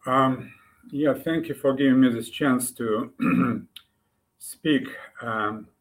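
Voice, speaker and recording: An older man from Ukraine with an Eastern European accent, answering interview questions reflectively and haltingly, with long pauses. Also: mid-range voice. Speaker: interview guest, commentator.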